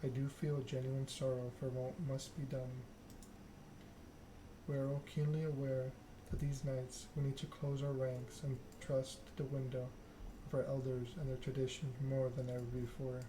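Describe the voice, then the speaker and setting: A man's voice: mid-range voice, little pitch movement, moderate pace, lightly acted. A man reading the part of a stern, regal female vampire ruler in a formal, solemn way. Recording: clear background noise.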